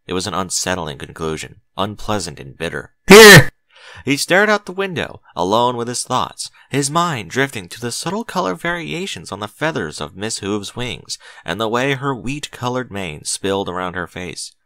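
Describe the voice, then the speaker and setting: A man reading a story as an audiobook narrator, with measured, theatrical phrasing and strong emphasis. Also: lowish voice, big pitch swings, pushed and bright, no room sound. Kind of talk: dramatic scripted story narration.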